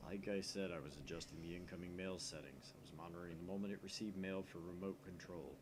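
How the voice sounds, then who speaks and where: A man's voice: low voice, steady tone, fast talker, pitched below the performer's own voice, natural voice. A man voicing a laid-back young computer geek sidekick in a visual novel, casual and matter-of-fact, with some background noise.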